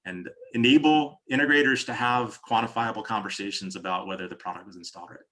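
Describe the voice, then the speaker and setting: Bright, low-voiced American man. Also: quick pace. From New Jersey, United States. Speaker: company chief executive, interview guest. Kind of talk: conversational business interview, explaining products.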